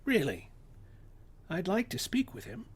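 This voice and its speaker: Man playing an intense high-voiced physics professor. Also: wildly swooping pitch, unhurried, breathy edge, full character voice. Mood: measured, probing. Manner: precise, emphatic, authoritative. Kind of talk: radio-play script reading.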